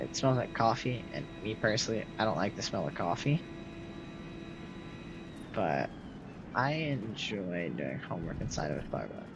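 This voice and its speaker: A young man in his late teens with a loud, projecting voice, rambling casually to his viewers while he games and answers their messages. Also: mid-range voice. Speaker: streamer. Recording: slightly muffled, faint game music behind.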